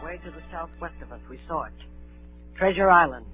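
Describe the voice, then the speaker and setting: An actor playing an earnest narrator who recalls a boyhood sea adventure, in measured 1930s radio storytelling style. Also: male voice, on the high side, lively intonation, loud music behind the voice, lightly acted. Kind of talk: dramatic first-person radio narration, 1930s. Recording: narrow-band 1930s radio broadcast.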